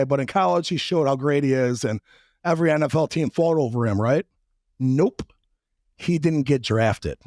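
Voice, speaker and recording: A man with a raspy voice after a trip, hosting a podcast and talking bluntly and casually, with some swearing and joking. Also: a little high, a little mellow, no room sound, clean studio sound. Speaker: podcast host. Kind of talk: casual interview and monologue intro.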